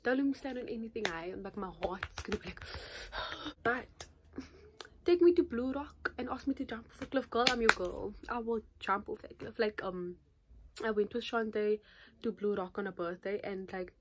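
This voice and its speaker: A nineteen-year-old woman talking casually to camera in a vlog, chatty and animated, with constant fillers, filmed at arm's length in a small bedroom. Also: mid-range voice, normal speed, background noise. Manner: chatty, animated, gestures a lot, says "like" constantly. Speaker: YouTuber. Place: small bedroom in front of a patterned curtain. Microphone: phone or camera at arm's length.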